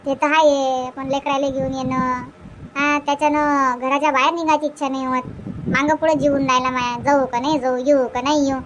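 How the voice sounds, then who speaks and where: Woman acting busy young housewife, extremely high piercing voice, Varhadi Marathi accent, fretful, rustic cartoon chatter, faint noise. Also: level tone, a little fast, dull audio, natural voice. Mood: hesitant, homely. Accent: Varhadi Marathi, Vidarbha. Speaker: cartoon character voice. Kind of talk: homely cartoon comedy dialogue.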